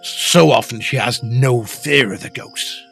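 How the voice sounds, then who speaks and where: A man's voice: medium pitch, a little slow, creaky, fairly bright tone, soft background music. Man acting a nervous, stammering husband in a ghost drama. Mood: flustered, defensive. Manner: stammering, hesitant, fussy, defensive. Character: nervous, evasive husband, anxious to flee haunted house. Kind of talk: period ghost story audio drama acting.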